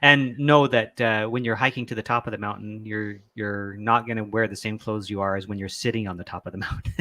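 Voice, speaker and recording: A man's voice: on the low side, fairly even intonation, brisk, hardly any pauses, bright, forceful tone, no echo. A man hosting a casual video call chat, easygoing and laughing along with his guests. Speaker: podcast host.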